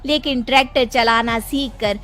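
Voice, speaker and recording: Woman acting a bright-voiced, determined young daughter-in-law. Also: on the high side, fairly even intonation, normal speed, soft background music, lightly acted. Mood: earnest, reassuring. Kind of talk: Hindi moral story voice acting.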